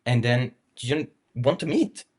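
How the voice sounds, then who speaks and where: A man's voice: mid-range voice, lively intonation, a little slow, a little mellow, breathy edge. A man co-hosting a casual dating chat in non-native English, talking bluntly and emphatically. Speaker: podcast co-host. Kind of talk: casual conversation about dating.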